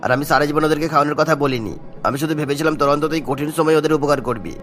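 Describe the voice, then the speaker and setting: A man's voice: on the high side, rapid-fire, fairly bright tone, soft background music, natural voice. A man voicing a kindly, troubled old village headman and father in a cartoon. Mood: hurt, firm. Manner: earnest, caring. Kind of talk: animated moral-tale dialogue.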